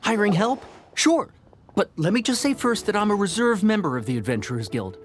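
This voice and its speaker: A performer playing a proud reserve adventurer in a video game, brisk and self-assured in bargaining. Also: medium pitch, big pitch swings, a little hiss or hum, close to an everyday voice. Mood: self-important.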